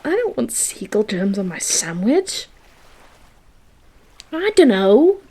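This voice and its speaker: A woman's voice: a little high, big pitch swings, takes it slowly, warm tone, breathy edge, heavily acted. A woman acting a playful, affectionate girlfriend in a romantic audio roleplay, with a theatrical, teasing manner. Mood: indignant, grossed out. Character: playful, dramatic, affectionate girlfriend on a beach picnic. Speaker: voice actor.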